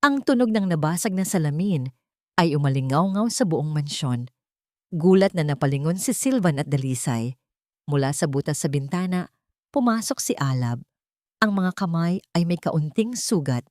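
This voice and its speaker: Woman, Filipino accent, lowish pitch, scripted drama narration, dry studio-like sound. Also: animated, normal speed, darkish tone, no echo. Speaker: story narrator.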